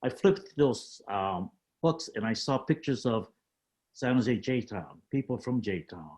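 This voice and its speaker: A man in his seventies, a panel guest on a video call, telling stories from his life in a measured, halting way with many fillers. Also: mid-range voice, expressive, darkish tone. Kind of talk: reflective storytelling on a panel.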